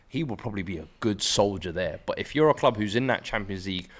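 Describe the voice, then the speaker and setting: A man with a lowish voice debates football casually. He is opinionated and speaks in relaxed street slang as one of a watchalong panel. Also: fast talker.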